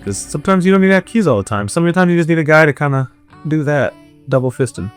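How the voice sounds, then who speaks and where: A man's voice: fairly high pitch, expressive, fast talker, soft background music. A man, an indie band musician, chatting casually and jokingly in an interview. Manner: joking, banter with bandmate.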